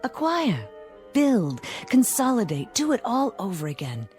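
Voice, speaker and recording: An actress playing a suave devil posing as a travel agent in 1960s television drama, speaking with poised, formal precision and cool teasing. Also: female voice, on the high side, huge pitch swings, a little slow, darkish tone. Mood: smooth, persuasive. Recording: old TV soundtrack with background music.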